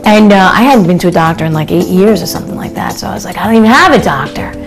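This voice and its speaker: A woman speaks casually in an interview, leaning on fillers as she recounts her own experience. Her voice sits at an ordinary middle pitch, and soft music plays underneath. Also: animated, rapid-fire. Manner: conversational, many fillers like "you know" and "uh". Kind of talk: personal account in an interview.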